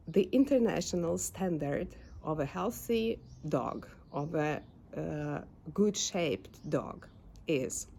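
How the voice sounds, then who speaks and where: Slow, mellow Ukrainian-accented woman. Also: lowish voice. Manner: hesitant, many fillers, searches for English words. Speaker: dog owner vlogger. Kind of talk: casual vlog talk. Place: home backyard.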